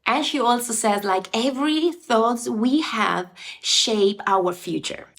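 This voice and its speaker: A woman's voice: a little high, slow. A young woman from Italy with an Italian accent, talking earnestly to viewers in a casual book review video. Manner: animated, earnest, direct to viewer.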